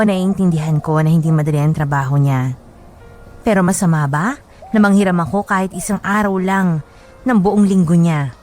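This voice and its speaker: Actress as low-voiced wistful young letter writer. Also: female voice, slight background noise, close to an everyday voice. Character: wistful young woman narrating her love troubles. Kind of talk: first-person radio drama letter narration. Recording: soft music bed.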